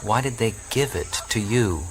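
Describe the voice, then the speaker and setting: A man's voice: on the low side, a little slow, heavy background noise. A skeptical, blunt man. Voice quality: flat, skeptical. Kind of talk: radio drama acting. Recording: radio play with loud crickets.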